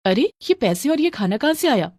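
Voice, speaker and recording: A woman's voice: high-pitched, sing-song, normal speed, a little mellow, slightly rough, dry recording, clean studio sound, pitched above the performer's own voice, heavily acted. Woman playing a meek, earnest young daughter-in-law. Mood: surprised. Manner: pleading, earnest.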